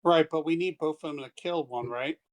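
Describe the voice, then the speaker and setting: A man's voice: fairly high pitch, a little fast. A man talking casually as a player in a tabletop roleplaying game.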